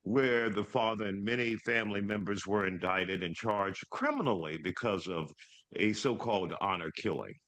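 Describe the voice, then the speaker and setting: Tv news host guy, lowish voice, emphatic when he asks stuff. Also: moderate pace, a bit bright. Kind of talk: news interview.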